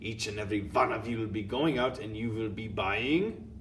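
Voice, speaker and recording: A man's voice: on the low side, moderate pace, fairly bright tone, far from the mic, a little hiss or hum, lightly acted. A man voicing a tyrannical witch queen, thundering and menacing with odd foreign phrasing. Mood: commanding.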